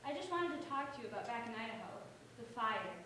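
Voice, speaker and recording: An actress playing an earnest sixteen-year-old daughter in a staged play reading. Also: female voice, on the high side, very animated, quick pace. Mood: guilty, confessing. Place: indoor stage or hall, distant microphone. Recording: echoey hall, far off, noisy.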